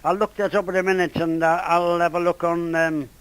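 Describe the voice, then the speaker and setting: A man's voice: a little high, little pitch movement, smooth. An elderly man, his voice going from a cold, speaking hesitantly in a casual amateur radio contact. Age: 80s or older. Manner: hesitant, halting. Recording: ham radio contact over internet app.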